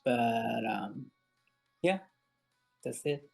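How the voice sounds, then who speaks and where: A man with a Korean accent answers as an interview guest. He talks slowly and casually in a mid-pitched voice, and quiet music runs behind him. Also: vocal fry, breathy edge. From South Korea.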